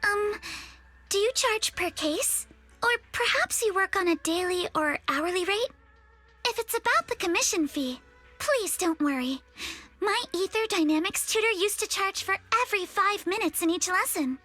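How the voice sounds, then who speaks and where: A woman's voice: very high voice, expressive, normal speed, pushed and bright, soft background music, full character voice. A woman playing a polite, formal young heiress with careful, proper phrasing in an anime-style game. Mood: courteous.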